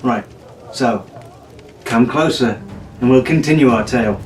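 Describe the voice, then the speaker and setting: A man's voice: mid-range voice, measured pace, faint music behind. A man reading a pirate story aloud in a playful, theatrical, drawling way. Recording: echoey room from far off.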